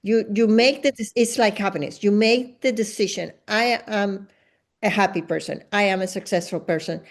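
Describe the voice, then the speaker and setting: A woman leads a podcast conversation. Her pitch sits in a middle range for a woman. She speaks assertively and with emphasis, often breaking off to restart a phrase. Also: moderate pace. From Venezuela. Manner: assertive, emphatic, with self-corrections. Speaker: podcast host. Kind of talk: conversational interview.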